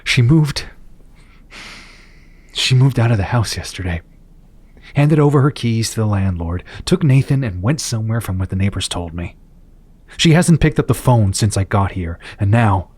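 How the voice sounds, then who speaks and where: Casting: troubled hero and worried father, rough husky low voice, brooding and halting. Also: expressive, a little mellow. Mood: worried, despondent. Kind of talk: audio drama acting.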